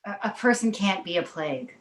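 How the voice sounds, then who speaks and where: A woman playing the exasperated, placating mother of a talking baby in an animated comedy table read, recorded in a roomy space. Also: mid-range voice, brisk, close to an everyday voice. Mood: uneasy, exasperated.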